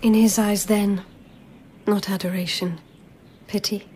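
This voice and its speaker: An actress playing a timid, lovestruck palace lady in a radio drama, hushed and hesitant, with a husky, breathy voice. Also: medium pitch, level tone, a little slow, slight background noise, close to an everyday voice. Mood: sorrowful. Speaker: actor. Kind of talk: intimate radio drama acting.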